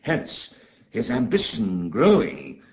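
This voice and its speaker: A man's voice: on the low side, wildly swooping pitch, slow, highs cut off, full character voice. An actor playing an embittered, commanding exiled duke and father in a theatrical, declamatory Shakespearean style. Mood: bitter, indignant. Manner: theatrical, grave, declamatory, with dramatic swells and hushes. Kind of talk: classical Shakespearean stage acting.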